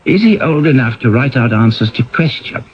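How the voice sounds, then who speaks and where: An actor playing a brisk, demanding male newspaper editor in a 1970s radio drama. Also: mid-range voice, animated, husky. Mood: grave. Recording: dull vintage radio recording.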